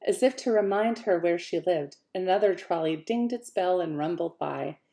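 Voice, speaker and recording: A woman author reading her own fiction aloud in an expressive, lively way. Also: lowish voice, normal speed, creaky. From Canada. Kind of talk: audiobook-style fiction reading.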